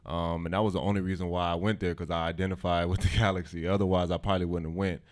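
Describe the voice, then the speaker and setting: A man in his thirties, a football coach, answering interview questions in a halting way with many fillers. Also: low-pitched, level tone.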